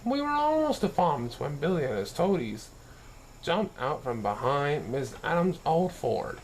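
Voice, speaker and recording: A man's voice: on the high side, very animated, measured pace, a little hiss or hum. A man reading a children's story aloud as narration, now and then stumbling and correcting himself. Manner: occasional stumbles and self-corrections while reading. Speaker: story reader.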